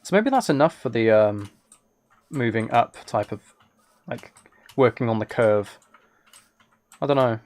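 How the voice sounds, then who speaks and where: A man's voice: mid-range voice, animated, unhurried, pauses often, a little mellow. A man streaming a video game, chatting casually and thinking aloud in hesitant fragments. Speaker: live streamer. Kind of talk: casual livestream chat while playing a video game.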